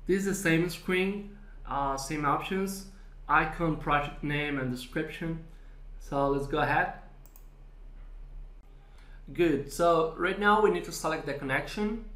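A man presenting a screen-recorded software tutorial, explaining step by step with frequent fillers. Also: on the high side, unhurried, long pauses. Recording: echoey room.

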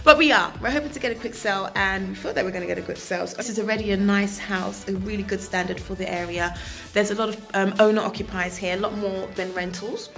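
Woman, 30s, chatty enthusiastic property tour, handheld phone in empty rooms, mid pitch, faint background music. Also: steady tone, quick pace, creaky, a bit bright. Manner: chatty, enthusiastic, repeats phrases for emphasis. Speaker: property developer. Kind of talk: walk-through property tour vlog. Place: empty renovated house, indoors. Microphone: phone camera held at arm's length.

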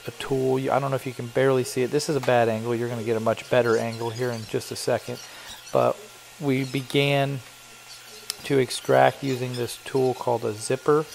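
A man's voice: mid-range voice, vocal fry, slight background noise. Chatty man narrating a work vlog. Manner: chatty, explanatory, with fillers. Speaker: carpet cleaning business owner.

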